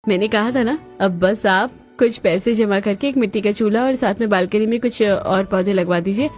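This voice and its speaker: A woman's voice: medium pitch, moderate pace, a little mellow, soft background music, natural voice. A woman playing a capable, long-suffering young daughter-in-law in a cartoon story. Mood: confident, reassuring.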